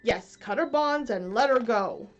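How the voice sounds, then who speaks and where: A woman's voice: fairly high pitch, lively intonation, unhurried, lo-fi sound. A woman voicing a cocky boy who imitates a pirate captain, bellowing bold commands. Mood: mock-commanding. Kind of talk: storybook read-aloud.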